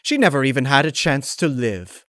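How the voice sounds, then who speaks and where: A man voicing a refined, vengeful female pony in a dark storybook reading, with formal, condescending, theatrical diction. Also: medium pitch, expressive, moderate pace, no echo, studio quality, voice pushed up, close to an everyday voice. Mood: cold, accusing. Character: refined vengeful female pony, chillingly polite, unhinged underneath.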